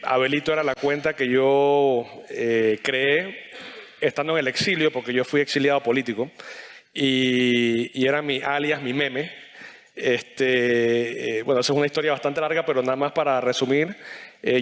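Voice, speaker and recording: A man from Panama with a Panamanian accent, telling his personal story haltingly as a conference panelist. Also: mid-range voice, a bit bright. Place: conference stage in a hall. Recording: long hall reverb.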